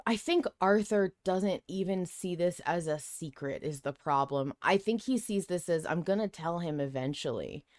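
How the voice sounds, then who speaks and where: A woman's voice: lowish voice, animated, moderate pace, few pauses, darkish tone, dry recording, studio quality. A woman hosting a podcast, chatting casually and musing informally about a story. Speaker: podcast host. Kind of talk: casual discussion of a fiction podcast.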